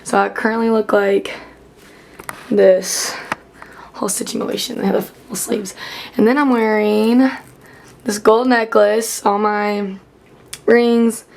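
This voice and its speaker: A college freshman in her late teens, chatting in a casual vlog with a slightly hoarse voice as she loses it, rambling with many "like" fillers. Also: mid-range voice, steady tone, slow pace, long pauses, a little mellow, a little hiss or hum.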